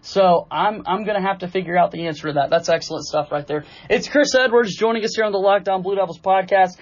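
High-pitched American man hosting a sports podcast. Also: fast, dry recording, muffled recording. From North Carolina, US. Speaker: podcast host.

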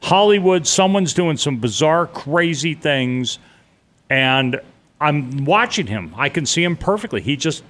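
A man's voice: on the high side, animated, measured pace, fairly bright tone. A middle-aged man telling a personal story in an interview, blunt and vivid, with casual swearing. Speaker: interview guest.

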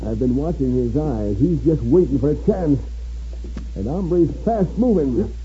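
An actor playing a sly, merciless old swindler with an American accent in a 1950s radio western. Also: male voice, a little high, lively intonation, a little slow, warm tone. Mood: smug, cunning. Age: older. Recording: dull, faintly noisy 1950s radio recording.

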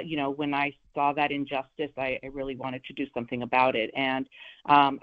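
A woman from Los Angeles County, a prosecutor running for office, speaking firmly and deliberately as a radio guest. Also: low-pitched. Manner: firm, measured, with frequent "uh" fillers. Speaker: prosecutor and political candidate. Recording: phone line.

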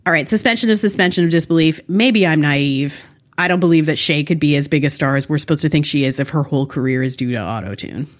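A woman's voice: low voice, quick pace. A woman co-hosting a casual TV recap podcast, talking in a wry, snarky way. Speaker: podcast co-host.

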